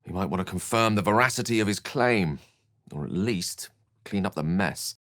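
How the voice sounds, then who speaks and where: A man's voice: low-pitched, animated, normal speed, fairly bright tone, no room sound, studio quality. A man playing an erudite, courteous and wry wizard in a video game, speaking in eloquent, theatrical sentences. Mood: reflective. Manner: eloquent, articulate, theatrical, wordy. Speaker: video game character voice actor.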